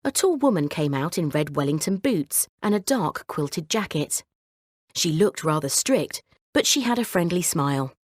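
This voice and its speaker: A woman narrating a scripted children's bedtime story in a measured, clearly phrased way. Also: sits low, animated, dry recording, studio quality.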